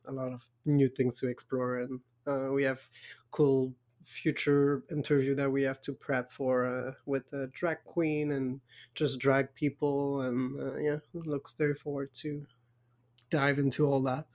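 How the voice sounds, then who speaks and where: Very deep-voiced French Canadian woman. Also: level tone, measured pace, darkish tone. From Quebec, Canada. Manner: dry, brief interjections. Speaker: podcast co-host. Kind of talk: casual chat.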